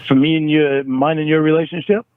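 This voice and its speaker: A man in a recorded phone argument, talking in a halting, defensive way and repeating words as he restarts. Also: a little high, level tone, smooth, no echo. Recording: tinny phone line recording.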